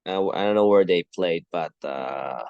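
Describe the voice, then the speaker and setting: A man talks through the sports news on his own show, earnest and halting, often circling back to restart a phrase. His voice sits on the low side. The sound is dry, with no room echo. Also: clean tone, lo-fi sound. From Philippines. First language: Tagalog. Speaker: podcast host. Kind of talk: solo sports commentary.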